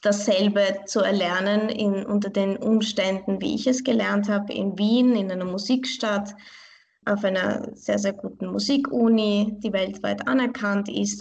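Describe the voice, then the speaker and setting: A woman's voice: mid-range voice, vocal fry, darkish tone. A young woman from Vienna, a pianist answering interview questions in a thoughtful, earnest way and often restarting phrases. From Vienna, Austria. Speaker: pianist and online piano teacher.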